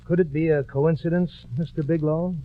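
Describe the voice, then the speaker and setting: Male actor as hard-nosed angry investigator, raised pitch, insistent, accusing, muffled old radio play. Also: fairly even intonation, fairly quick, close to an everyday voice. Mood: stern, accusing. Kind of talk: 1940s radio drama acting.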